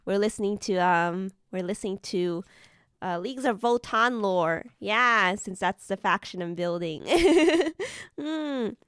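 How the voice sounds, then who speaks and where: A woman streamer chatting casually with viewers while building models, slightly hoarse from being sick and laughing often. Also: mid-range voice, expressive, measured pace, a bit bright, dry recording, studio quality. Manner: giggly, chatty, greets viewers.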